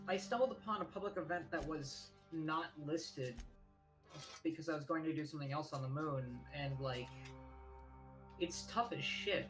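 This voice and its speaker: A man's voice: on the high side, a bit bright. A man chatting casually while gaming, rambling and repeating himself, with some swearing. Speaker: gamer chatting with a friend. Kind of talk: casual chat during gaming.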